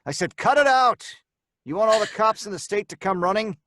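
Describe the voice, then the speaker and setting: A man's voice: sits high, lively intonation, fairly quick, heavy background noise. An actor playing a brash, mocking crook who narrates his own tale in slangy old-time radio drama style. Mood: tense storytelling. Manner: slangy, hard-boiled tough talk.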